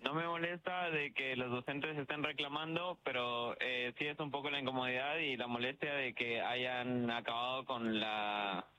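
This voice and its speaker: Bright-voiced young Ecuadorian man, faint background noise. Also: mid-range voice, fairly even intonation, moderate pace, few pauses, rough recording. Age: young adult. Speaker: university student interviewee. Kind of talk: spontaneous street interview. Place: outside a university building. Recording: faint noise on street interview.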